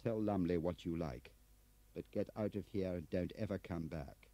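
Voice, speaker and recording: A man's voice: sits low, normal speed, mellow, no room sound. A man voicing a stern, angry upper-class British senior official in an audiobook, curt and commanding.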